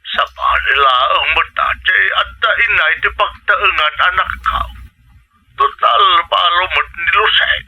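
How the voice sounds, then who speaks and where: A Filipino man playing an aging, gently stubborn father in a radio drama, heard through a telephone filter. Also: high-pitched, a little slow, a little hiss or hum. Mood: pleading, insistent. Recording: thin, harsh telephone line.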